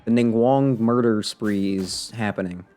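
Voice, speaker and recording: A man's voice: fairly low pitch, measured pace, mellow, smooth, faint music behind. A man doing casual live game commentary, grumbling wryly and talking to himself and the game. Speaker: game streamer. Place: live stream, game music behind the voice.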